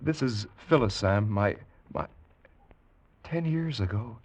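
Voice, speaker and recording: An actor playing a stern, by-the-book American spaceship captain who is shaken, commanding and clipped in a vintage radio drama. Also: male voice, lowish voice, animated, slow. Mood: stunned. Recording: old radio recording, faint music.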